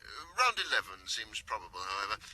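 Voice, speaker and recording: An actor playing a matter-of-fact police doctor in a radio crime drama, reporting clinically with small hesitations. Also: male voice, lowish voice, big pitch swings, a little fast, a crowd in the background, low-quality recording. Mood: brisk, clinical. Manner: clinical, hesitant "uh" between findings.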